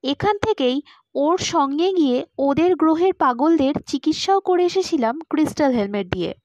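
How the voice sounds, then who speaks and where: A woman's voice: sits high, expressive, moderate pace, darkish tone, no room sound, muffled recording. A woman reading a first-person story aloud as a scripted audiobook narrator.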